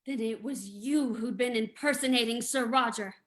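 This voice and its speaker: A woman's voice: fairly high pitch, moderate pace, fairly bright tone, lightly acted. A woman playing a frightened young lady, the hero's companion, in a melodramatic old-time radio drama reading. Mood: frightened, defiant.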